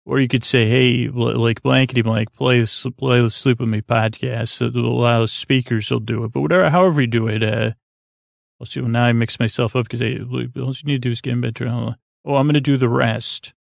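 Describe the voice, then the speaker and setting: A man with a creaky voice hosting a bedtime podcast, talking in a calm, gentle, meandering way with halting self-interruptions. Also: medium pitch, no room sound. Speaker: podcast host. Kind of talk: rambling, soothing bedtime podcast monologue.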